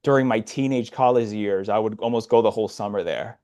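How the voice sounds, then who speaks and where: A man's voice: on the low side, a little fast, dark tone. A man from Nicaragua, raised in the D.C. area, who hosts the interview and asks friendly, curious questions. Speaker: host. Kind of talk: casual interview.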